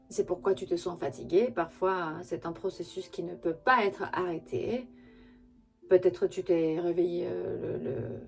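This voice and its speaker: A woman who is not a native French speaker gives an intuitive tarot reading straight to the listener, halting as she searches for words. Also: fairly low pitch, vocal fry, a little mellow. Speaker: spiritual tarot reader and channel host.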